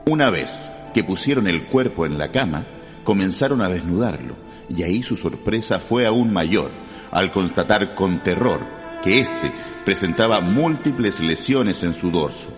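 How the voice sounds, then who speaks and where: A low-voiced man narrates a true-crime radio drama. His delivery is grave and suspenseful, wrapped in long reverb with music playing clearly behind him.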